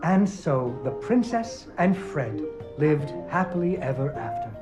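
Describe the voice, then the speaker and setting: Lively sing-song storybook narrator, gentle, fond, game music behind. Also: female voice, sits low, a little slow. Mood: storytelling. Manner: soft, sing-song and fond, talking to a child. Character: gentle woman narrating a bedtime puppet story.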